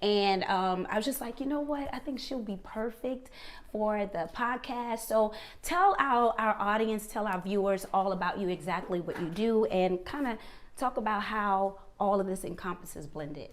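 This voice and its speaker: A woman's voice: mid-range voice, moderate pace. A woman hosting a podcast, talking to her listeners in an upbeat, chatty way. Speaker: podcast host. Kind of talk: casual podcast chat.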